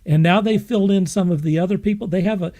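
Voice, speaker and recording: A man's voice: high voice, fast talker, warm tone. A man chatting casually and enthusiastically as a podcast panellist. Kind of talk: casual chat.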